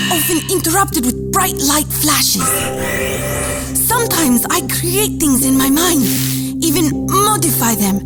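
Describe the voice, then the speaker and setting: A woman playing an earnest, sensitive adolescent boy genius, confiding his story in a radio drama. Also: high voice. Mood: bewildered wonder. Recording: faint music behind the voice. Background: faint music.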